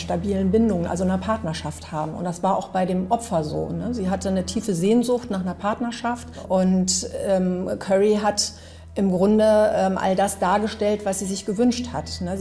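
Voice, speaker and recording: A woman's voice: mid-range voice, warm tone, slight background noise. A woman giving conversational expert commentary in an unscripted interview, often adding little tag questions.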